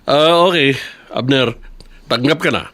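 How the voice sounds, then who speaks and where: A man's voice: mid-range voice, a little slow, a bit bright, close to an everyday voice. A man playing a kindly, authoritative company boss in a radio drama, reassuring and decisive. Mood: approving, decisive. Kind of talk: Tagalog radio drama acting.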